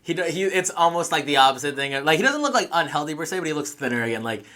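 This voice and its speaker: A man's voice: on the high side, animated, quick pace, bright, forceful tone. A man talking casually as a player in a tabletop game, thinking aloud a little hesitantly as he describes characters. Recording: faint music behind the voice.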